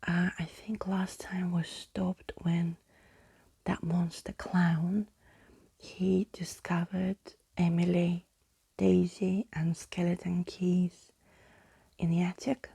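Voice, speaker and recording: A woman reading a storybook in a soft, breathy ASMR whisper, hushed and soothing. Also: fairly low pitch, level tone, takes it slowly, dry recording. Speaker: ASMR reader.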